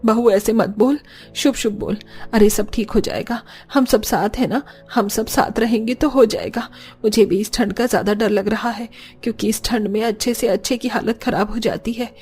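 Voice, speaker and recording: A woman's voice: a little high, steady tone, moderate pace, darkish tone, slightly rough. A woman playing a devout, protective elderly mother-in-law in a cartoon family story, speaking earnestly and soothingly. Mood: worried, reassuring.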